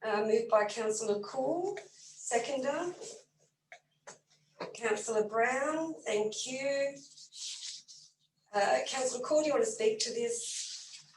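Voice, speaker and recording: A woman chairs a council meeting as mayor, moving through motions and votes in a brisk, businesslike way. Her slightly higher voice is a bit croaky. She sounds set back from the mic in an echoey room, with faint noise underneath. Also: recorded from a distance. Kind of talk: formal meeting procedure.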